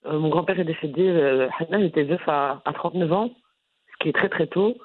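A woman from Brussels with a Brussels French accent, answering interview questions in an animated, frank way with frequent restarts. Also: low-pitched, fairly quick, slightly rough, no room sound. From Brussels, Belgium. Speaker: content creator guest. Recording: phone-line audio.

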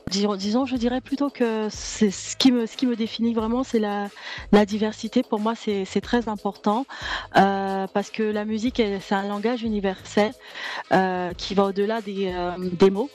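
A woman's voice: medium pitch, fairly even intonation, moderate pace, a little mellow, soft background music. A woman singer from Cameroon answering interview questions, hesitant and searching for words, often restarting and repeating herself. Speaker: singer and balafon player.

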